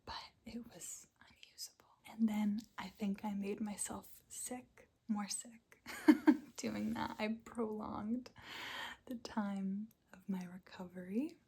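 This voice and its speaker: A woman hosting an ASMR channel, giving a life update in a soft, unvoiced whisper with small quiet laughs. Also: mid-range voice, steady tone, slow, gravelly, husky.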